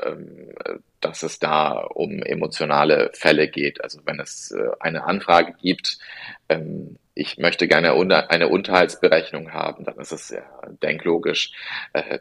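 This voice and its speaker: A man being interviewed as a family lawyer on a podcast, speaking in a measured, earnest way with frequent ums and ahs. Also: extremely low pitch, creaky, fairly bright tone, husky. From born in Italy, raised in the Rhineland.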